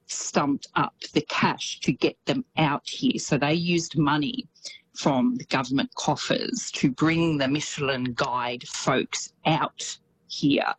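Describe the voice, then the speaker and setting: Low-voiced Australian woman correspondent. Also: fairly even intonation, slow, slight background noise, low-quality recording. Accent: Australian with slight New Zealand tinge. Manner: chatty, laughs often, upbeat. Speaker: New Zealand correspondent. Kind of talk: casual radio chat.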